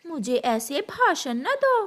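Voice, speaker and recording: A woman's voice: high voice, very animated, slow pace, fairly bright tone, a little hiss or hum, full character voice. A woman voicing a greedy, bossy female crow with a scolding, headstrong manner in a cartoon. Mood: defiant.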